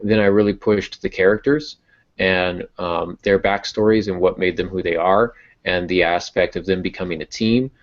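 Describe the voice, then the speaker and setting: Low-voiced man on a muffled recording. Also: steady tone, moderate pace. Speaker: novelist and podcast host. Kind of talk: casual podcast talk about writing. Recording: dull, band-limited audio.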